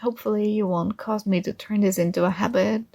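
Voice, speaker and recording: A woman playing a possessive but tender dream princess in an ASMR roleplay, coaxing and gently commanding, close to the microphone. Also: mid-range voice, steady tone, a little fast, creaky, no echo. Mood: pleading, commanding. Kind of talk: ASMR roleplay, soothing intimate monologue.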